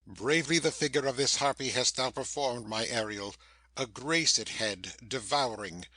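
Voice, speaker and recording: A man playing a proud, commanding sorcerer in a theatrical Shakespeare audiobook, with measured, declamatory speech. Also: mid-range voice, pushed and bright, no echo, rough recording. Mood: proud, satisfied. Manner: measured, declamatory, self-satisfied.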